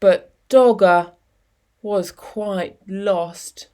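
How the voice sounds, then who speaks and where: A woman reading a children's picture book aloud in an expressive, deliberate storytelling manner with dramatic pauses. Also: mid-range voice. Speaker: storytime reader.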